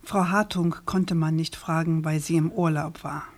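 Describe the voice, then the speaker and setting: An actress playing a persistent, composed investigative journalist in a radio crime drama, probing and articulate. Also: female voice, deep voice, steady tone, normal speed.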